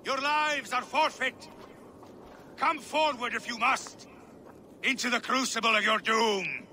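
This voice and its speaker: An actor playing a defiant, sneering young Viking enemy in a game, shouting theatrical taunts. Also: male voice, extremely high pitch, measured pace, slight background noise, exaggerated voice.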